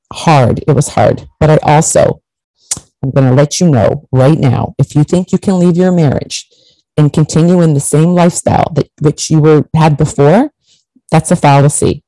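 A middle-aged woman from Portugal talks straight to her viewers with blunt emphasis and the odd swear word. Her voice is warm and mellow, and the close recording is dry with no room sound. She speaks in a candid, advice-giving monologue. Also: low voice, dry recording, rough recording. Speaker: vlogger.

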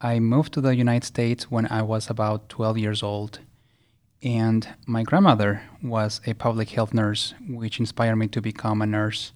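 A man from Chile in his thirties, telling his career story in a measured, earnest way as a podcast guest. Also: fairly low pitch, level tone. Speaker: guest, nursing regulator. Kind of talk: podcast interview.

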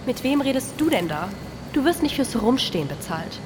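Bossy, impatient microbe foreman for an audio play: higher-pitched, brusque, heavy reverb. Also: big pitch swings, moderate pace. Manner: brusque, commanding. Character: bossy, impatient microorganism worker in a cow's stomach. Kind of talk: satirical audio play dialogue. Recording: long reverb, noisy ambience.

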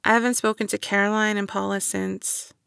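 A woman's voice: mid-range voice, level tone, a little fast, gravelly, a bit bright, clean tone, no echo, clean studio sound. A woman acting in an intimate audio drama as a guarded, wistful young woman back home after years away. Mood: reflective.

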